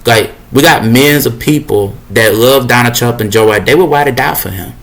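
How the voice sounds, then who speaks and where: A young man with a deep voice giving a rambling motivational monologue, casual and repetitive with slang fillers. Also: brisk, fairly bright tone.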